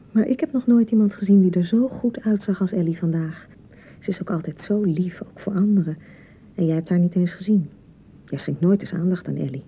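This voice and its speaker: An actress from a radio play playing an anxious, touchy young newlywed bride in a hesitant, rambling way, with a Netherlands Dutch accent. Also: female voice, slightly rough. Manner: hesitant, rambling, self-correcting. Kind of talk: naturalistic radio play dialogue. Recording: muffled radio broadcast with rumbling noise.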